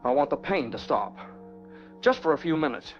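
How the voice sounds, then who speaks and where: A high-voiced male zombie, played by an actor taunts over a horror radio broadcast. He is cunning, hungry and sardonic. The sound is dull and low-fi, with music underneath. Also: lively intonation, normal speed, natural voice. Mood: pained. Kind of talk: horror radio drama acting.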